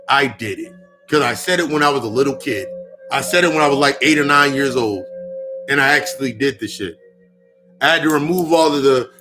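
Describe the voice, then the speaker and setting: A man in his mid-thirties talks through a loose spiritual monologue in a slightly high, bright and forceful voice. He swears freely and circles back over his own phrases to hammer them home. Faint music with ringing bowl tones sits behind him. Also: rough recording. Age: 30s. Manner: profane, repetitive, emphatic. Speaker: spiritual speaker.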